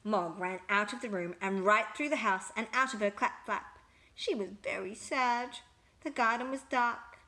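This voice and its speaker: A woman reading a children's picture book aloud with expressive, emphatic storytelling. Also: medium pitch, unhurried.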